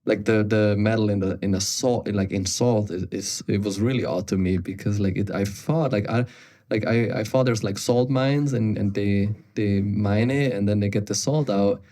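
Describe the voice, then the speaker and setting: A man from Austria hosts a podcast in a fairly low voice. He rambles as he asks his questions, restarting sentences and filling gaps with "like.". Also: moderate pace, dark tone. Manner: rambling, restarts often, many "like"s. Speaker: podcast host. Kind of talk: casual interview chat.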